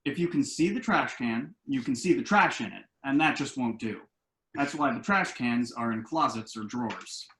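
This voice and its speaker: An actor playing an earnest, anxious adult son in a conversational comedy play reading, explaining things in an echoey room. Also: male voice, medium pitch, expressive, normal speed, fairly bright tone, slight background noise. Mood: earnest, insistent. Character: earnest adult son, anxious and quirky about house rules.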